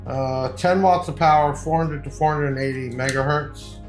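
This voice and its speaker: A man's voice: fairly high pitch, normal speed. A man giving a casual, off-the-cuff product review, explaining things informally like a salesman. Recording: echoey room, faint music behind.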